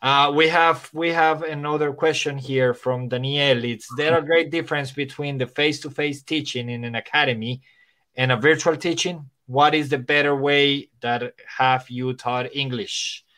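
A man's voice: medium pitch, steady tone, moderate pace, pushed and bright. A man whose first language is Spanish, speaking English with a Spanish accent and some Irish and American touches, an English teacher chatting casually on a podcast, rambling with restarts. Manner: rambling, many restarts and fillers.

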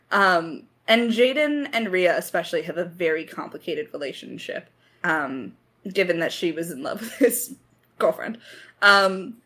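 A woman's voice: lowish voice, fairly bright tone. A woman chatting casually in a creator Q&A, effusive and playfully dramatic, rambling along in slangy speech. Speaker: lead writer and voice actor of an audio drama.

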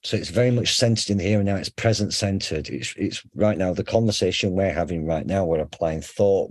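A middle-aged man with a faint Sheffield accent talks through ideas in a relaxed, conversational way. His voice sits very low and has a husky edge. The sound is dry and close, with no room around it. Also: dry recording. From England. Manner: conversational, explains with examples, frequent fillers and restarts. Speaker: psychotherapist guest. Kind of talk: casual explanatory interview.

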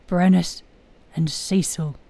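A woman voicing a grim, grieving king who gives orders gravely in a fantasy audio story. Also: on the low side, fairly even intonation. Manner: slow, grave, dark.

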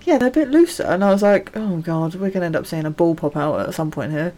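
A woman's voice: fairly low pitch, lively intonation, quick pace, warm tone. A woman co-hosting a podcast, chatting casually in a candid, self-deprecating way. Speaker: podcast co-host. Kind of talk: casual chat.

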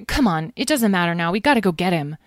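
A woman's voice: lowish voice, expressive, fast, no echo, studio quality, natural voice. A woman voicing a bold young storybook heroine who is exasperated, protective and forthright. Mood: exasperated, scolding. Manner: forthright, exclamatory. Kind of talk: children's audio story.

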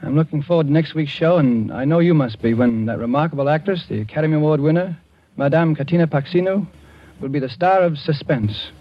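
A man's voice: fairly high pitch, fairly quick. A man presenting a scripted sign-off for a 1940s radio show. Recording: dull 1940s radio broadcast recording.

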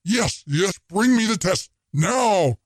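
Man reading a gravelly, hot-tempered ironsmith, blustering and proud. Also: expressive, unhurried, no room sound, studio quality, heavily acted. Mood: boastful. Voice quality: gravelly, booming. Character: gruff booming proud male ironsmith.

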